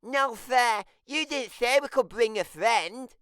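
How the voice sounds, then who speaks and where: A storybook narrator voicing an excitable, timid little-brother ape in a childlike, dramatic manner. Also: medium pitch, takes it slowly, smooth, no echo, clean studio sound, voice pushed up. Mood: complaining. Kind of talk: children's picture-book character reading.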